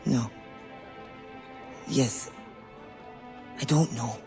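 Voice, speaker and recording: Proud, agitated spymaster with music behind. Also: male voice, mid-range voice, very slow, mellow. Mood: suspicious, agitated. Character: proud, agitated spymaster fighting to free slaves. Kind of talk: dramatic video game acting.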